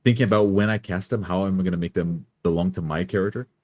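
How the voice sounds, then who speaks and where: A man's voice: sits low, very fast, a little mellow. A man who plays tabletop roleplaying games, talking casually about his character. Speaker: tabletop game player.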